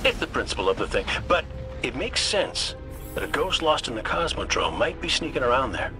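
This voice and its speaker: Man as a wisecracking, lively gunslinger robot, swooping pitch. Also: brisk, lightly acted. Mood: teasing. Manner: drawling, colloquial, playful. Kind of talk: video game dialogue. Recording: loud music over the voice.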